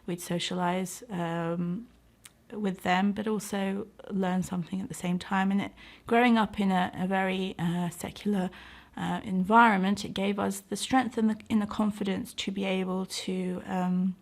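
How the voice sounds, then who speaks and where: British woman, thoughtful, with a creaky voice. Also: mid-range voice, fairly even intonation, normal speed. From United Kingdom. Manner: earnest, hesitant, thoughtful. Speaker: interviewee, doctor and community organiser. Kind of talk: reflective interview talk.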